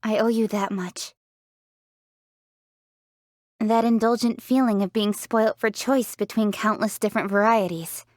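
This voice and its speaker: A woman's voice: mid-range voice, steady tone, a little slow, pauses often, no echo, studio quality, close to an everyday voice. A young woman voicing a diligent, proud young city official in a video game, speaking in an articulate, assertive, matter-of-fact way. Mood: sincere, appreciative, then opinionated. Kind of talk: scripted video game character voice lines.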